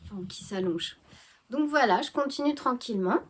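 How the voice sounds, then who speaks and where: A woman with a fairly high voice, stuffy and congested from a head cold. She talks to her viewers in a relaxed, hesitant way, stopping and restarting with plenty of fillers as she chats about her knitting at home. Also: fairly quick, slight background noise. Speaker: knitting vlogger. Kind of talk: casual chat to camera.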